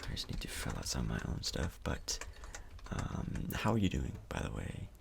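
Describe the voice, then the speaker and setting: Soft-spoken man, low gravelly ASMR murmur. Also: big pitch swings, normal speed, a little hiss or hum. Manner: hesitant, casual, reassuring. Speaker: ASMR performer role-playing a doctor. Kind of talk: soft-spoken ASMR role-play, near whisper.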